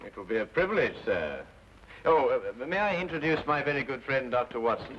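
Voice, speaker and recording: A man's voice: medium pitch, very animated, a little fast, slight background noise, close to an everyday voice. A man playing an urbane, courteous British detective and narrator in an old radio drama. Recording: dull old radio recording, music behind.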